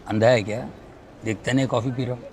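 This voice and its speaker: An actor playing a curt, prickly hotel guest, formal and terse, in a film comedy. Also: male voice, medium pitch, fairly even intonation, fast, a little hiss or hum, close to an everyday voice. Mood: curt, irritated.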